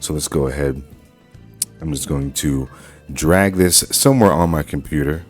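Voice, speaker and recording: A man hosting a casual software walkthrough, talking informally through each step as he goes. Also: rumbling low, moderate pace, faint music behind. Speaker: tutorial host.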